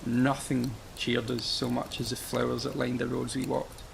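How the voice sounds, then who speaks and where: A man playing a solemn, mournful figure in a radio play, speaking gravely and poetically with a gravelly, creaky voice. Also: medium pitch, monotone, normal speed, noisy background. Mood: wistful, mournful. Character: solemn, mournful man recalling a dying ancient land.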